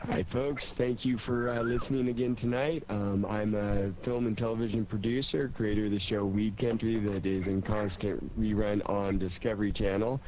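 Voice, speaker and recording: A man's voice: lowish voice, steady tone, moderate pace, few pauses. A man who acts and hosts radio, casually introducing himself to the listeners. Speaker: actor and radio show host introducing himself. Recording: dull, slightly noisy connection.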